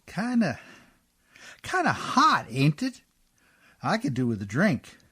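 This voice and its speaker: A man acting in a radio play as a rough, good-natured, hard-drinking Canadian riverboat skipper with a folksy, deferential, ungrammatical drawl. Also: fairly high pitch, huge pitch swings, slow, bright, forceful tone, full character voice. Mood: genial, hospitable.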